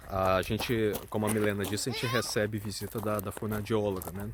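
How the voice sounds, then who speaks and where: A man's voice: on the low side, level tone, moderate pace. Earnest Brazilian father, noisy recording. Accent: Brazilian Portuguese. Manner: thoughtful, earnest, searching for words. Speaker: father and vlogger. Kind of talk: casual explanatory talk.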